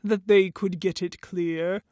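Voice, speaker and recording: A pompous, silver-tongued walrus from a storybook poem, played by a woman. The words come slowly and grandly, stretched out with theatrical relish. The sound is dry, with no room around it. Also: lowish voice, steady tone, dark tone, no room sound, pitched below the performer's own voice. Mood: musing, mournful. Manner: slow, drawn-out, grandly theatrical speech. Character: pompous, smooth-talking walrus luring young oysters. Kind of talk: storybook verse character voice.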